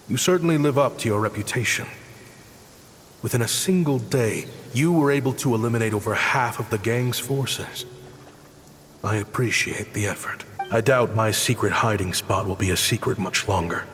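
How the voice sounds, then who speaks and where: A man's voice: mid-range voice, normal speed, fairly bright tone, slight background noise, close to an everyday voice. An actor voicing an earnest young exiled researcher obsessed with his craft, in a dramatic video game performance. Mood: composed, confessional. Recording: studio voice over prominent music. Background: music.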